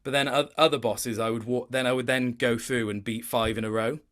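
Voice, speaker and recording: A man's voice: medium pitch, steady tone, a little fast, fairly bright tone. Man chatting casually, thoughtful and hesitant. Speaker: interview guest, video game voice actor. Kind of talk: casual chat.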